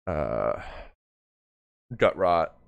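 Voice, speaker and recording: A man doing casual live reaction commentary as a streamer, excitable, joking and prone to swearing. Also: rumbling low, drawn-out words, vocal fry, smooth, no room sound, dull audio. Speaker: streamer reacting to a fan motion comic.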